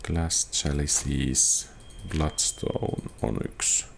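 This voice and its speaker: A man's voice: a bass voice, steady tone, very slow, creaky, a little hiss or hum. A man giving casual gameplay commentary, thinking aloud as he sorts through items. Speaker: gameplay streamer.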